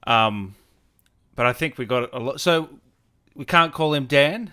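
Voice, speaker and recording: A man's voice: medium pitch, animated, a little slow, sharp tone. A man hosting a casual streamed interview, talking informally and tagging his lines with little questioning asides. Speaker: host, interviewer.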